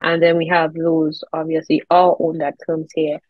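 A woman's voice: low voice, completely flat delivery, normal speed, no room sound. A woman in her thirties with a Caribbean accent, chatting as an interview guest over a video call. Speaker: interview guest, air traffic controller and children's author. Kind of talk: conversational interview answers. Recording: slightly dull video-call audio.

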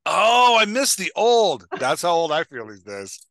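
A man in his forties with a very lively, swooping delivery and a bright, forceful voice. He banters casually as a podcast host, joking at his own expense, close and dry on a headset mic. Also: on the high side, unhurried, no room sound. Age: 40s. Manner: jokey, self-deprecating. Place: indoor room, on a video call.

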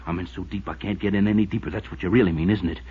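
An actor playing a desperate, unhinged lovestruck killer who pleads and rants in a 1950s radio drama. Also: male voice, low-pitched, steady tone, rapid-fire, breathy edge. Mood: menacing, crazed. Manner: pleading, agitated, ranting. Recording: muffled tinny 1950s radio recording.

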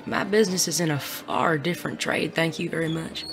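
An actress playing a sly gentleman bandit in an audio Western, genteel, formal and wordy. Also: low-pitched, a little fast, over music. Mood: sly, courteous. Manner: genteel, formal, smooth-talking. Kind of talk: audio drama acting.

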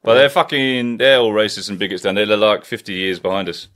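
Bloke, deepish voice, swears a lot, chatty podcast host in a little study. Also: male voice, fast, a bit bright. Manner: swears freely, rambling storytelling. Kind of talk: casual chat. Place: wood-panelled study, indoors.

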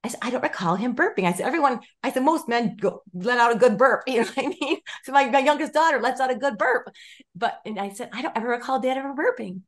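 A woman in her fifties, a guest on a video call, telling her story with lively, restarting sentences and acted-out quotes, gesturing as she talks. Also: on the high side, fast. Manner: animated storytelling with many restarts and quoted voices. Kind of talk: casual interview chat. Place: home room with stone wall, indoors.

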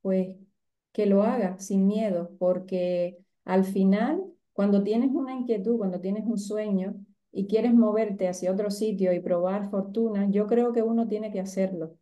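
A middle-aged woman with a softened Cuban accent, speaking reflectively as a guest in an interview over a video call. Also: medium pitch, fairly even intonation, measured pace, dull audio. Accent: Cuban, softened by years abroad. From Cuba. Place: indoor room at home, on a video call.

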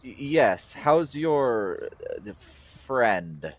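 A man playing a stern, reserved father in a radio play, speaking tersely and haltingly. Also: mid-range voice, big pitch swings, dry recording, full character voice. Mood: reluctant, awkward.

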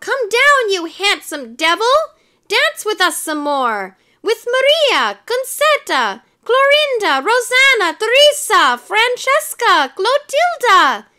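A woman voicing pushy, lovestruck village ladies in a storybook, calling out insistently with drawn-out cries. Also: very high-pitched, sing-song, sharp tone, voice pushed up, heavily acted. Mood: shrill coaxing.

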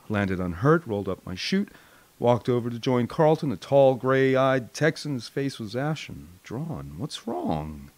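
A man's voice: mid-range voice, very animated, unhurried, darkish tone, no echo. An American man reading a first-person audiobook expressively, with a dramatic storyteller's manner. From United States. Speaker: audiobook narrator.

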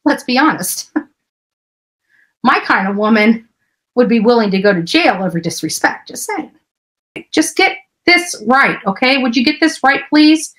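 A woman's voice: a little high, lively intonation, a little slow, pauses often, a bit bright. A middle-aged woman on a home livestream, ranting casually in a brash, profane, emphatic way. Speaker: livestream host. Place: small indoor room at home.

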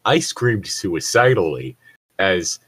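A man with a lowish, slightly rough voice hosts a casual reading show. His intonation swings around a lot. He swears freely and sounds exasperated as he banters. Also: very animated, slow pace, fairly bright tone. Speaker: host of a comedic fanfiction reading show. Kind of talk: casual banter while reading bad fanfiction aloud.

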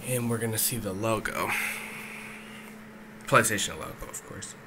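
A man giving a casual, unscripted step-by-step tech tutorial, speaking informally with hesitant pauses, recorded indoors on a handheld camera. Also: on the low side, background noise. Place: indoors, small dim room at a desk setup. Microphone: handheld camera or phone mic.

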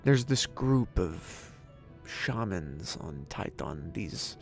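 A man acting in an audio drama as an earnest, awkward, soft-hearted inventor who haltingly searches for words. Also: low-pitched, big pitch swings, vocal fry, full character voice. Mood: earnest, nervous. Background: music.

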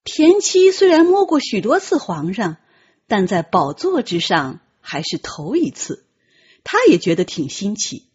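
A woman reads a novel as a dramatic audiobook. Her voice is very husky and breathy, at a middle pitch with animated movement. The recording is slightly dull. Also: a little slow. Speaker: audiobook narrator. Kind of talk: dramatic scripted storytelling.

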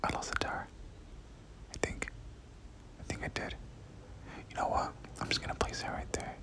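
Whispering ASMR gamer, breathy and unvoiced, prone to looping short phrases while narrating play. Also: moderate pace, pushed and bright. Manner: repeats words and short phrases over and over. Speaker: gaming video host. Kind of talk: whispered ASMR game commentary.

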